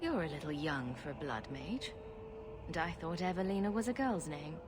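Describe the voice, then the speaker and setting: A woman's voice: deep voice, expressive, moderate pace, music in the background. An actress playing a wry, sarcastic female adventurer in a fantasy game, with a dry delivery. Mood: dry, questioning. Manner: dry, sardonic. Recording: long reverb, clear background ambience.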